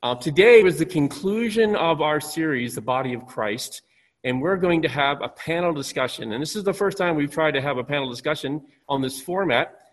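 A man's voice: a little high, lively intonation, normal speed. A man, a pastor, hosting a church panel from a stage, speaking loosely with many fillers and restarts. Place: church stage, far from listeners. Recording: long hall reverb.

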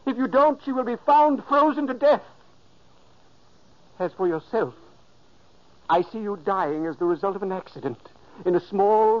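An earnest ghost. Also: male voice, high-pitched. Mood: urgent. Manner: urgent, pleading. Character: ghost of a dead older man, earnest. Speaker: narrator. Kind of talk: 1940s radio acting. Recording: dull old radio recording.

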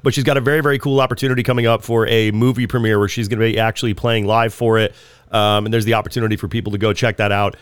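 A man hosting a podcast, a little raspy from a head cold, talking in a chatty, loose way with frequent ums. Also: on the low side, little pitch movement, rapid-fire, no room sound. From Southern California. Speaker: podcast host. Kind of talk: casual podcast intro and ad reads.

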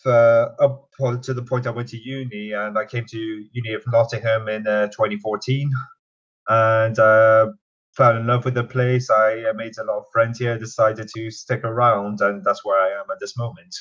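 A man's voice: lowish voice, little pitch movement, normal speed, fairly bright tone. A young man in his twenties from Canada with a mixed Canadian and British accent, talking hesitantly with many fillers in an interview. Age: 20s. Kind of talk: casual interview. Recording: dull lo-fi sound.

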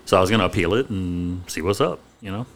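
A middle-aged man, a physician and podcast co-host, talking in a measured, hesitant monotone on a studio mic. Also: deep voice. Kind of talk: casual podcast chat.

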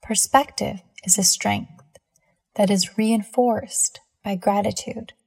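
A woman leading a scripted guided meditation in a measured, soothing manner with deliberate pauses between phrases. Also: medium pitch, vocal fry, dark tone, husky.

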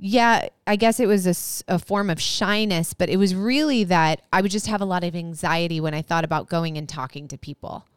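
A woman with a high voice co-hosting a casual podcast chat, rambling a little with restarts as she tells a story. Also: fairly quick, hardly any pauses, clean tone, no echo, studio quality. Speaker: podcast co-host.

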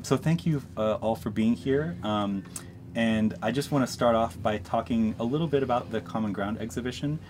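A man hosting a podcast, introducing and interviewing in a measured way. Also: mid-range voice, a little mellow, a little hiss or hum. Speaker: podcast host.

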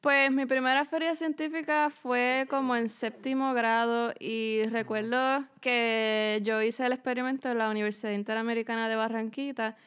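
A young woman from Puerto Rico with a Puerto Rican accent, a university student answering questions in a podcast interview, measured and pausing on many fillers. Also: a little high, fairly even intonation, fairly bright tone, clean tone. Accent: Puerto Rican Spanish. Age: young adult.

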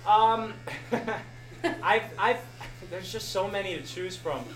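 A man's voice: high voice, slow, a bit bright, a little hiss or hum. Young male podcast host, rambling and self-deprecating, live Q&A feel, echoey room. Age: young adult. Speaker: podcast host answering audience questions. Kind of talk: casual live Q&A.

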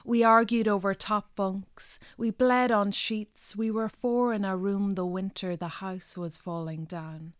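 A woman poet from Donegal in her forties with a Donegal Irish accent, Irish her first language, reading a poem and answering interview questions in a thoughtful, measured way with many pauses. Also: medium pitch, fairly even intonation, dry recording, studio quality. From North West Donegal, Ireland. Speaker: poet, guest.

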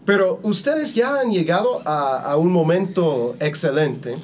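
Higher-pitched man hosting a podcast. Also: lively intonation, a little slow, slight background noise. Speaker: podcast host and interviewer. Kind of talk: conversational podcast interview.

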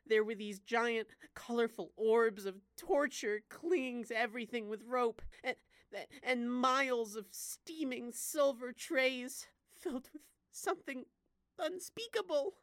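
A woman voicing a traumatized, earnest little candy boy who speaks haltingly and dramatically in an audio drama. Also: a little high, dry recording, clean studio sound, lightly acted. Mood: shaken, horrified. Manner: halting, dramatic horror-struck telling.

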